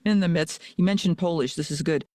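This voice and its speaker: Lively man hosting a video-call interview. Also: a little high, a little fast, no room sound, clean studio sound. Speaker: podcast host. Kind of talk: casual interview chat.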